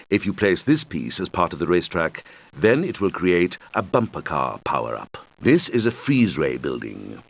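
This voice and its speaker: A man's voice: sits low, exaggerated voice. A man voicing a helpful video game guide who patiently explains things to young players in scripted instructional lines. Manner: patient, clearly explanatory, addressing children. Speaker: game tutorial guide character.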